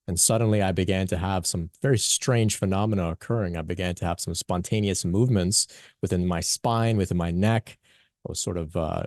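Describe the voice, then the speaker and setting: A young man with a low voice gives an earnest conference talk as a spiritual teacher. He halts often, with fillers and restarts. The sound is dry and close. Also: darkish tone, no echo. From born in Pakistan, raised in Canada. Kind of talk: conference talk, personal story.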